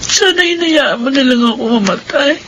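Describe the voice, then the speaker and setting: A woman's voice: high voice, lively intonation, moderate pace, a bit bright, a little hiss or hum, low-quality recording. An actress playing a bitter, despairing young mother with a tearful, emotional delivery in radio melodrama. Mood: weary despair. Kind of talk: melodramatic radio drama acting.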